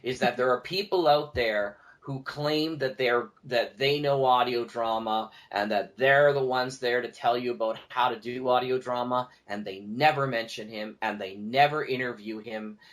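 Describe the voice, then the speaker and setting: A man's voice: mid-range voice, moderate pace, few pauses, a bit bright. A man talking casually as a guest on a podcast, speaking emphatically and repeating key words for stress.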